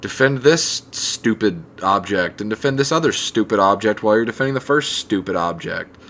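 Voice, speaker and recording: A man's voice: on the low side, animated, normal speed, fairly bright tone, slight background noise. A man on a gaming podcast, chatting casually and giving his opinions. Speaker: podcast guest or panelist.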